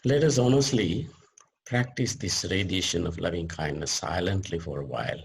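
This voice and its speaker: A man leading a guided meditation as a teacher, speaking deliberately in short phrases with pauses between them. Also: low-pitched, creaky, slight background noise.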